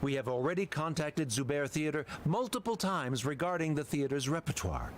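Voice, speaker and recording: An actor playing a stern, cold, accusing academy official in a video game, speaking formally and imperiously. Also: male voice, a little high, expressive, normal speed, noisy background, lightly acted. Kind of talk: scripted video game voice acting.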